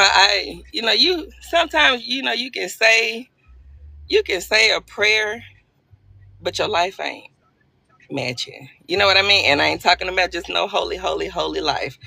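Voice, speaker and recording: Chatty woman with a gravelly edge. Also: on the low side, pushed and bright, dry recording. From Arkansas. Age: 40s. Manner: chatty, playful, self-deprecating, greets viewers by name. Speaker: livestream host. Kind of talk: casual live-stream chat with viewers.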